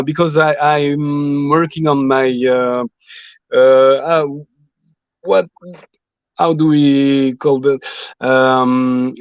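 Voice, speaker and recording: A man with a French accent, speaking English as a second language in a halting interview chat full of fillers and restarts. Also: medium pitch, clean tone, dry recording. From French Guiana. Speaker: guest musician. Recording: dull lo-fi sound.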